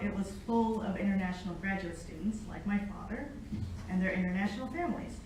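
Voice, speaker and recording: A woman's voice: medium pitch, darkish tone. A middle-aged American woman giving an informal academic lecture, conversational and engaging her listeners directly. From United States, born in Japan. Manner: conversational, engages the audience with questions. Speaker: academic guest speaker, Latin researcher. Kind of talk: informal public lecture with personal storytelling. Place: echoey indoor lecture room. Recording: echoey room, distant mic, faint noise.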